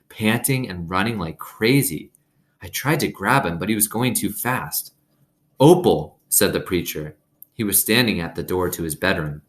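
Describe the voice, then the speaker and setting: A man reading a children's novel aloud as an expressive narrator. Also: low-pitched.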